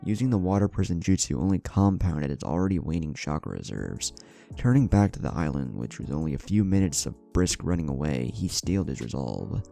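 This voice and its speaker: Man, very low pitch, dark mellow tone, dramatic story narration, music underneath. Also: lively intonation, brisk, creaky. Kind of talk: dramatic scripted fan fiction narration.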